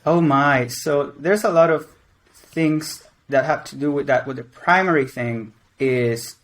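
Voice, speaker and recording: A man's voice: a little high, measured pace. A man in his twenties from Venezuela and Mexico, a game creative director answering interview questions in a rambling, casual way. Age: 20s. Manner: rambling, many restarts and fillers.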